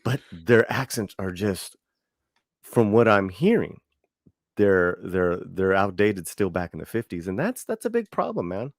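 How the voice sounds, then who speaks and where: Deep-voiced rambling man, dry sound. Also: very animated, measured pace, no echo. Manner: rambling, many restarts, emphatic. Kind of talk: casual chat.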